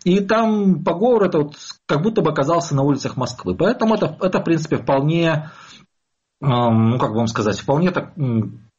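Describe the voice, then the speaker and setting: A man giving analytical commentary as an expert, explaining in a halting, self-correcting way over dull, lo-fi audio. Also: on the high side, lively intonation, a little mellow, slightly rough.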